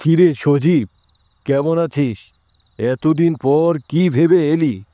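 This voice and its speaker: Man playing a stern, high-pitched undead old uncle. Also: dark tone, no echo. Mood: gruff greeting. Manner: stern, menacing, drawn-out delivery.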